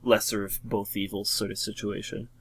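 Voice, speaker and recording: Low-voiced man chatting over a game. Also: flat delivery, normal speed, breathy edge. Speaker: co-commentator. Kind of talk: casual game commentary.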